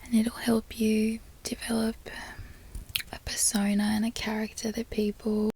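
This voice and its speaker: A woman whispering close to the microphone in a put-on Australian accent, chatting in a soft, unhurried way for an ASMR product review. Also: on the high side, monotone, a little hiss or hum. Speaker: ASMR creator. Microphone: close sensitive microphone.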